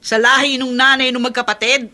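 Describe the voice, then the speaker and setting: A sharp, irritable Filipino aunt. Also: female voice, high voice, flat delivery, a little fast. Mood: angry, exasperated. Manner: sharp, exasperated complaining. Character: irritable, spiteful aunt fed up with burdens. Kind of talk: Filipino radio drama acting.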